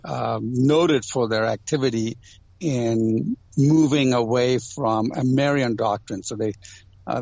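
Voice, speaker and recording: A man's voice: mid-range voice, vocal fry, a little mellow, no room sound, lo-fi sound. A man, an interview guest who grew up in Ghana and other countries in an Indian family, explaining things through anecdotes in a conversational way. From Ghana and other countries abroad, Indian family. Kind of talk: conversational interview, personal storytelling.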